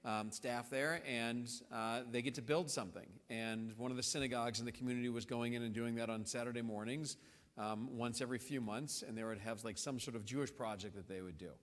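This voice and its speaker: A man, a rabbi, explaining things to the congregation in a relaxed conversational way, with fillers and restarts. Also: medium pitch, fairly quick. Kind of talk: casual panel conversation. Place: large synagogue hall. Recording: long hall reverb.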